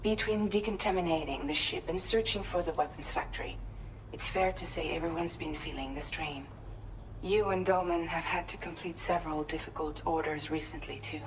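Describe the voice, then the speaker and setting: Game crew leader female actor: caring, calm authority, slightly low pitch, considerate orderly delivery, light background noise. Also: moderate pace, natural voice, poor audio. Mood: caring, businesslike. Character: caring, composed woman leading a ship's crew. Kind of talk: video game cutscene dialogue.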